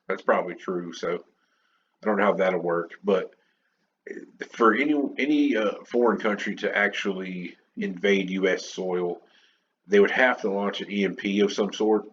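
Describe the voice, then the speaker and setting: An American man hosting a podcast, chatting casually and unscripted in a rambling, conversational way. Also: low-pitched. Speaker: podcast host. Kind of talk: unscripted opinion monologue, casual chat. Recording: dull lo-fi audio, clear background noise.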